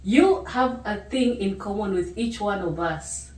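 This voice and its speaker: A middle-aged woman with a Kenyan accent, hosting a casual chat, laughing often and talking in quick runs. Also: mid-range voice, expressive, a little mellow. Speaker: podcast host, author. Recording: echoey room, mic at a distance.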